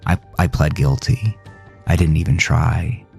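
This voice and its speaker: A man with a very low voice narrates a first-person horror story. His delivery is somber and dramatic, with deliberate phrasing and a heavy vocal fry. Music plays softly behind him.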